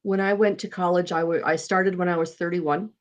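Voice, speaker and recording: A woman in her forties sharing openly in an online recovery meeting. Her voice sits fairly low, and she speaks in a halting way, pausing often and searching for words as she goes. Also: fairly even intonation, dull audio. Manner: open, halting, many pauses and fillers. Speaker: meeting participant. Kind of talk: casual chat.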